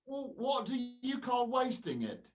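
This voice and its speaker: An actor playing a miserly, haggling old junk dealer in a radio play. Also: male voice, very high voice, sing-song, a little slow, full character voice. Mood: sly haggling. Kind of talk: stage-style radio play acting. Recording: slightly distant microphone.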